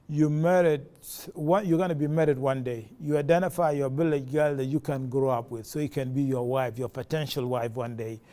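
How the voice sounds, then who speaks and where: Male interviewee, forties, South Sudanese accent; relaxed, dark timbre, halting delivery. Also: fairly high pitch. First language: a South Sudanese language. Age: 40s. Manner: halting, many fillers and restarts. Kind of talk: personal testimony.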